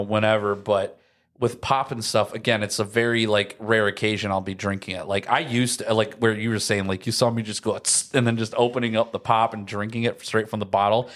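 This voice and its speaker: A man's voice: fairly low pitch, a bit bright. A young man. He talks casually, like a podcast co-host among friends. His delivery rambles from one anecdote to the next with plenty of slangy asides. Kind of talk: casual chat.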